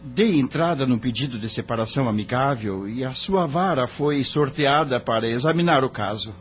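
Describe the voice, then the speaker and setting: An earnest, humble young lawyer new to the job, played by a man in an audio drama. He speaks politely and a little tentatively at a medium pitch. The sound is slightly dull, with music underneath. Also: moderate pace, lightly acted. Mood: earnest, respectful.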